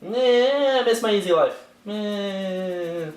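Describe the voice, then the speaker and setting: A woman's voice: medium pitch, slow pace, a little mellow, roomy sound, far from the mic, close to an everyday voice. A woman voicing a vain, whiny young Elizabethan man in a comic puppet show, slangy and theatrical.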